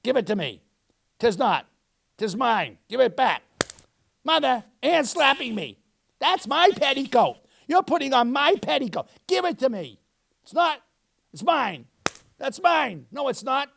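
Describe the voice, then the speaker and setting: A man's voice: very animated, unhurried, vocal fry, slight background noise, heavily acted. A man voicing a frightened little girl who squeals and shrieks. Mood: squabbling. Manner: squealing, high and loud.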